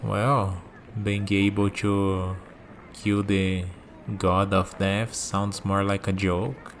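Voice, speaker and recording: A man playing a calm, curious villain in a scripted ASMR roleplay, musing and dryly teasing as he talks. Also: low-pitched, very slow, slight background noise, heavily acted. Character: calm, curious young male villain bargaining with a death god.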